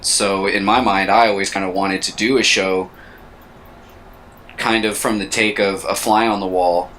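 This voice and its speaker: A man in his thirties with a very low voice and a flat, level delivery talks things through slowly, stopping to hunt for the right words. His tone still has a bright, forward edge. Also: slight background noise, low-quality recording. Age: 30s. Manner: reflective, searching for words. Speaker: co-host. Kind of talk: casual chat.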